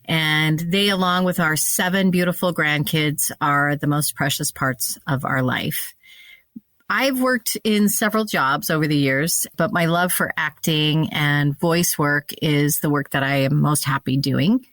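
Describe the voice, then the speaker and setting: A woman's voice: low-pitched, measured pace, a bit bright, dry recording, clean studio sound. A woman in her fifties from Montana, co-hosting a podcast in a playful, self-deprecating chatty manner. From Montana, USA. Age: 50s. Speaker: podcast co-host. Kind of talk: casual podcast chat.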